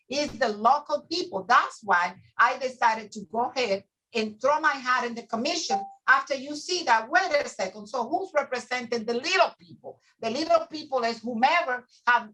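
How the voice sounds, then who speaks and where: Bright-toned earnest woman guest. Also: a little high, poor audio. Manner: earnest, repeats words while finding them. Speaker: guest, immigration attorney. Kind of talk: casual panel talk.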